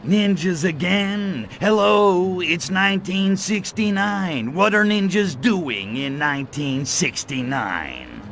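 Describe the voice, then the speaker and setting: Gravelly, cocky little alien invader. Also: male voice, high-pitched, lively intonation, takes it slowly, hardly any pauses, fairly bright tone, a little hiss or hum, heavily acted. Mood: exasperated. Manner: brash, wisecracking, slangy. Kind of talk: video game cutscene acting.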